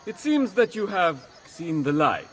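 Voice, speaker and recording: A pompous enemy general in a game drama. The voice is fairly high and very unhurried, full of condescension. Music plays clearly behind it. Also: male voice, very animated, full character voice. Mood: disdainful. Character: haughty male enemy army general, entitled villain.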